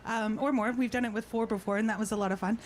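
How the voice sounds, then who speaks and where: High-voiced woman presenting playfully at a noisy festival. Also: steady tone, quick pace. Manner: playful, chatty, instructional. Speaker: throat singer and presenter. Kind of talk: informal stage talk to audience. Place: outdoor festival stage at a park. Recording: live outdoor sound, clear background noise.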